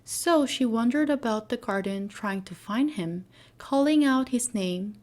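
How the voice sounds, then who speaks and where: A woman's voice: a little high, lively intonation, unhurried, darkish tone. Woman narrating a bedtime fairy tale. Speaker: storyteller.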